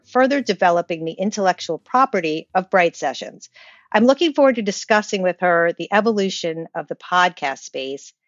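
A woman's voice: medium pitch, no room sound, dull audio. A woman hosting a podcast, reading introductions and putting questions to a guest. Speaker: podcast host and interviewer. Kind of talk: scripted intro and interview.

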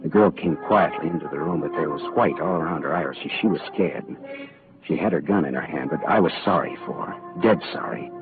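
An actor playing a wisecracking, world-weary private detective in 1940s radio style, clipped and sardonic. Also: male voice, a bass voice, lively intonation, fairly quick, vocal fry, exaggerated voice. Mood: tense narration. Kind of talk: hard-boiled first-person narration and dialogue, 1940s radio acting. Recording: muffled old radio broadcast, faint music.

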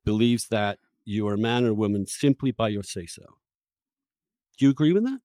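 A man interviewing in an argumentative style, insistent and quick to cut in and challenge the other person. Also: fairly low pitch, expressive, dark tone, dry recording, studio quality.

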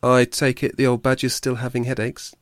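A man voicing a gentle, friendly male woodland creature in a storybook reading, speaking softly. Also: medium pitch, completely flat delivery, brisk, no echo, clean studio sound, natural voice.

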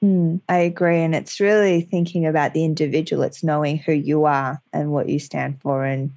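Conversational host, woman, low and gritty, dead-dry studio. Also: fairly even intonation, moderate pace, darkish tone, dry recording. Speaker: podcast host. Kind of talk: podcast interview. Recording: dry close sound.

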